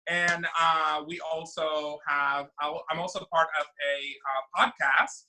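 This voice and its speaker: A man's voice: a little high, steady tone, slow pace, pushed and bright, slight background noise, rough recording. A man, chatting as a guest in an interview, talkative with many fillers and restarts. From Venezuela. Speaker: drag queen and event producer. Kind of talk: casual interview chat.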